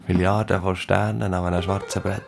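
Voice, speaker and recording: An actor playing a solitary, brooding soldier in a radio drama, musing in hushed, contemplative fragments. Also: male voice, low-pitched, little pitch movement, a little fast, vocal fry. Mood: brooding, awed. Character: brooding, solitary soldier remembering desert nights. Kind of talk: radio drama inner monologue. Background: plucked guitar music.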